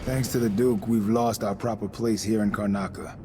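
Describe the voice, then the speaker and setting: Casting: male actor for ambitious religious overseer, game cutscenes. Low, measured, stern, quietly threatening; noisy backdrop. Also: fairly even intonation, close to an everyday voice. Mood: guarded, assertive. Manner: measured, self-important, politely menacing. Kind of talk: dramatic video game dialogue.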